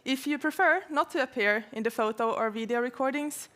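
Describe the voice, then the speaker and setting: High-voiced young woman hosting an event. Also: brisk, a bit bright. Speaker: event co-host, master's student. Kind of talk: presenting a live event. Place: live event venue with audience.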